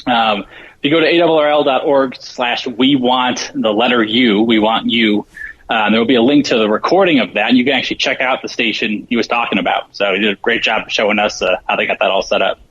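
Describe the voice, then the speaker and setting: A man's voice: medium pitch, fairly quick, a bit bright, slightly rough. A man talking as a guest, casually explaining what resources his program offers, over dull call audio. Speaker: show guest, program organizer. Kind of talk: casual informational talk.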